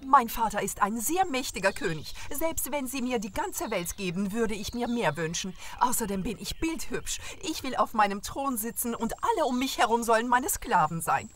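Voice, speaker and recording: A woman's voice: on the high side, lively intonation, normal speed, hardly any pauses, soft background music, close to an everyday voice. Woman as a boastful, arrogant young princess. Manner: boastful, self-satisfied. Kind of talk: cartoon fairy tale voice acting.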